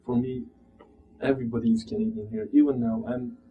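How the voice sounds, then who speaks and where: A man in his thirties with a Turkish accent, speaking haltingly and searching for words as he reflects in an interview. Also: mid-range voice, flat delivery, mellow. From Turkey. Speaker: interviewee, immigrant telling personal story. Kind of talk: reflective personal interview testimony. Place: small plain room indoors. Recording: dull muffled sound, background room noise.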